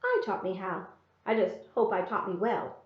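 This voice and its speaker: A woman's voice: medium pitch, very animated, moderate pace, close to an everyday voice. Storybook young heroine read by a woman, earnest, wry, self-assured, roomy and slightly dull audio. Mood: wry, confident. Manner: dry, confident. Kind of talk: storybook character reading.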